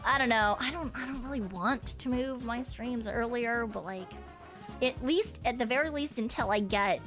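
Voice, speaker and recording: A woman's voice: mid-range voice, a bit bright. A woman chatting casually on a live stream with a slight frog in her throat. She rambles, swears casually and laughs often.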